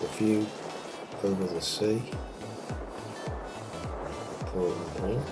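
Unhurried male vlogger, low voice, rambling tour-guide chat outdoors, windy camera audio with music. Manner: unhurried, rambling with many fillers. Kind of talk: casual walking commentary. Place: outdoors on a windy seaside path. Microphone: handheld camera mic in wind. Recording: wind noise with background music.

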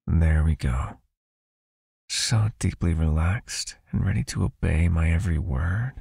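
A man voicing a possessive, soothing vampire hypnotist in an ASMR roleplay, with a hushed, coaxing manner and a raspy, creaky, breathy voice. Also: low-pitched, a little slow, dry recording, studio quality, full character voice. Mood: soothing, commanding. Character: possessive soothing male vampire hypnotist, controlling yet caring.

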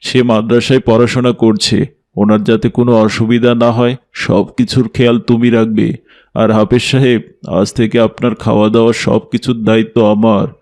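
A man playing a strict, pious middle-aged father and mosque president in a cartoon, with a measured, authoritative, formal manner. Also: lowish voice, little pitch movement, a little mellow, lightly acted. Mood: courteous, formal.

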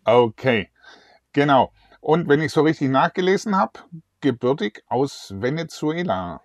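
A man's voice: on the high side, big pitch swings, a little slow, no echo, clean studio sound. A middle-aged man hosting a celebrity interview, chatty and upbeat, wearing a lapel mic indoors. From southwest Germany. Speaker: host.

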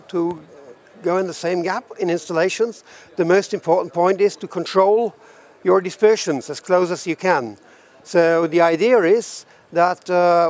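Higher-pitched older man presenting products. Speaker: head of research and development presenting products. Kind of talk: semi-scripted product presentation. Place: trade show booth in a large exhibition hall.

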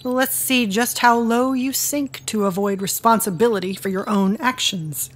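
An actress playing a possessive, mocking woman tormentor in an audio drama, with a husky, deliberate delivery. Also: fairly high pitch, natural voice. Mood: taunting.

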